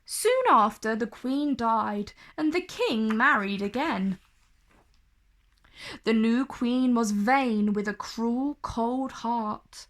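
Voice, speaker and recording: Female children's narrator: expressive story read-aloud, unhurried and deliberate, higher register.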